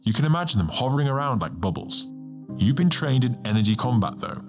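A man voicing a serene, ancient male star guardian, a measured and lightly amused mentor in an audiobook. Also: mid-range voice, very animated, voice pushed up, close to an everyday voice. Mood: casual, explaining. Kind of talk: audiobook character dialogue. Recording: music bed under the voice.

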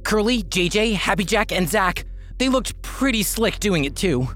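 A man's voice: lively intonation, normal speed, a bit bright, faint music behind. A man voicing an earnest, anxious teenage boy with a raised, pleading voice. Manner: pleading, high-pitched worried voice.

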